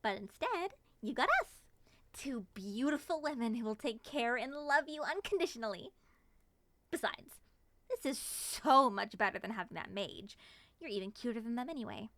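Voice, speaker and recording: A woman playing an excitable, affectionate moth monster girl in an ASMR roleplay, gushing and sweetly possessive. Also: a little high, normal speed. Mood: doting, possessive.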